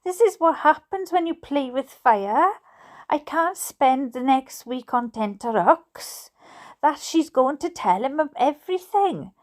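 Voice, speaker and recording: A woman's voice: sits high, animated, measured pace. Woman playing a snappish, exasperated Welsh mother. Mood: scolding. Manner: scolding, exasperated. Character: fraught, snappish mother at the end of her tether.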